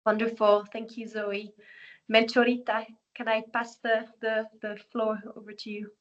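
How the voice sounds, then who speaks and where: A woman's voice: fairly high pitch, level tone, moderate pace, muffled recording. A woman hosting an online webinar, walking through a website with many fillers and restarts. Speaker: network host presenting the platform.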